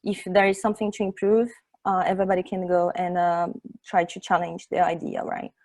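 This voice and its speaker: A woman's voice: on the low side, level tone, brisk, a little mellow. Chatty Brazilian-accented woman presenting. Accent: Brazilian Portuguese. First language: Portuguese. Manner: chatty, frequent "um" and "right?" tags. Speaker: tech meetup speaker. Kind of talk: informal tech presentation.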